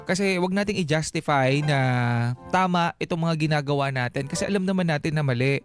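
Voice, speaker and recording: A man's voice: on the high side, fairly quick. A Filipino man hosting a casual radio advice show, conversational and admonishing as he reads comments and counsels. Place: radio studio. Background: background music.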